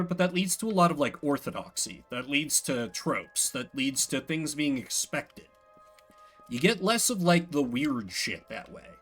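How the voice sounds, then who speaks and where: A man streaming a game with a higher-pitched, very animated voice. He chats casually and rambles into self-deprecating, opinionated asides, with music behind him. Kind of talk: casual chat while playing a game. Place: indoors at a desk.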